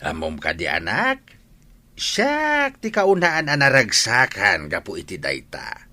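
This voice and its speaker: A man playing an older village father, excitable, fond and nagging, in a radio-style drama. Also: fairly low pitch, slow, full character voice. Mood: concerned, fond.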